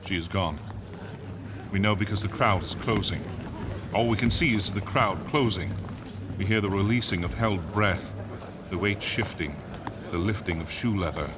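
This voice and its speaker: An actor playing a tense, solemn radio announcer, giving measured, vivid live commentary on a crowded plaza in a verse drama. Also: male voice, low-pitched, over music, lightly acted. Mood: solemn, uneasy. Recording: clear outdoor crowd and street ambience behind.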